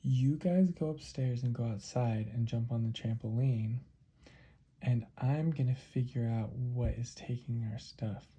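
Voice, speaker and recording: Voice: mid-range voice, a little slow, dark tone, husky. A storyteller voicing a kind, confident, curious little girl in a children's story.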